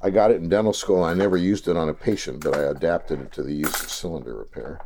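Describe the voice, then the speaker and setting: A man's voice: sits low, fast, a little mellow, a little hiss or hum. A man, a dentist and collector, talking unhurriedly at home about his old machines, with hesitant fillers. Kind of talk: casual explaining.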